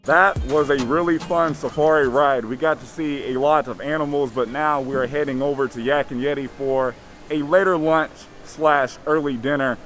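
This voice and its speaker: Male vlogger, slightly raspy, chatty and upbeat, recorded outdoors at a park. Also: a little high, steady tone, moderate pace, a bit bright, faint music behind, low-quality recording. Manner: enthusiastic, chatty. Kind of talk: upbeat vlog narration.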